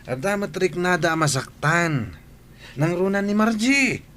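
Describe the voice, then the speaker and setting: Slow-talking, cautioning buddy. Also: male voice, high voice, lively intonation, a bit bright, slight background noise. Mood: admonishing. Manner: cautioning, deliberate. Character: advising, cautioning male buddy. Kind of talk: radio drama acting.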